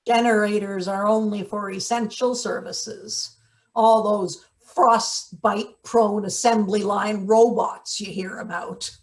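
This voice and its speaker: A woman's voice: medium pitch, fairly even intonation, takes it slowly. A woman playing a sardonic, hard older forewoman in a live play reading, with a dry, wordy, sarcastic manner. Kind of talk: live table read of a satirical play.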